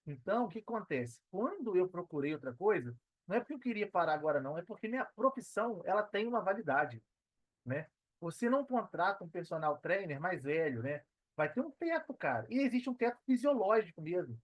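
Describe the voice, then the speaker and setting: A man's voice: sits high, very animated, brisk, no room sound, dull audio. A Brazilian man in his thirties talking casually as a podcast guest, conversational and often checking for agreement with slangy tags. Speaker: podcast guest, personal trainer and affiliate marketer. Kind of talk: casual podcast interview talk.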